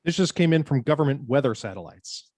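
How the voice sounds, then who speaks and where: A man playing a brisk, commanding newspaper editor in an amateur script table read. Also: medium pitch, animated, darkish tone, no room sound, natural voice. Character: brisk, bossy male newspaper editor chief.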